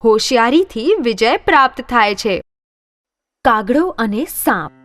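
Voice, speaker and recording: A woman's voice: a little high, animated, a little slow, a bit bright, no echo, studio quality, soft background music. A woman narrating scripted moral stories for children.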